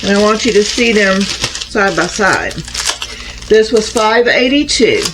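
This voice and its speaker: Woman, casual vlog host, slightly raspy with a cold, mid pitch, noisy home recording. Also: lively intonation, measured pace. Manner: chatty, homey, addresses viewers as y'all. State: starting a cold, a bit raspy. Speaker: crafting channel host.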